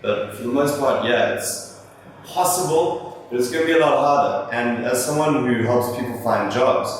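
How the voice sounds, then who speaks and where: A young man hosting a vlog, casually answering viewer questions and rambling a little, with fillers and restarts. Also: fairly low pitch. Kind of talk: casual talk to camera. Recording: echoey room from far off, faint noise.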